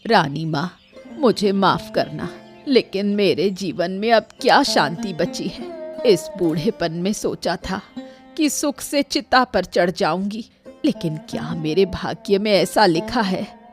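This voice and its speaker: A woman's voice: medium pitch, slow, soft background music, natural voice. A woman voicing a bitter, complaining old mother-in-law, a poor servant, in a cartoon story. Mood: aggrieved, lamenting. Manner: complaining, aggrieved. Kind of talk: Hindi cartoon story voice acting.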